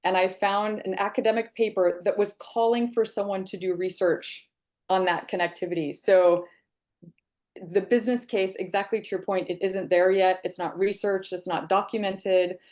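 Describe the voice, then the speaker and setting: A woman's voice: lowish voice, a little mellow. A woman talking in an online group discussion, casual and conversational, with lots of "like" and "um". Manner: conversational, many fillers like "like" and "um". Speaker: panel participant. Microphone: video call. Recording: muffled video call audio.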